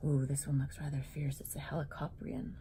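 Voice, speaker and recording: Low, mellow-voiced woman reading to children. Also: a little fast, slight background noise. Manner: animated storybook delivery, addressing young listeners. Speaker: children's author reading her book aloud. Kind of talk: children's story reading with casual asides.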